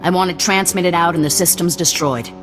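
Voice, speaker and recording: A hard-nosed female resistance leader, voiced by a woman barks curt orders in a deep, low voice. She sounds distrustful and commanding. The game cutscene rings with a long echo under a loud musical score. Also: a little fast, music in the background, lightly acted. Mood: stern.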